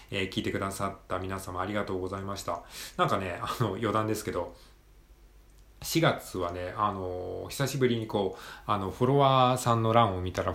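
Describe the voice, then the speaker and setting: A man hosting a solo podcast, giving casual practical tips in a conversational way with frequent softening tags at the ends of phrases. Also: low-pitched, creaky, roomy sound.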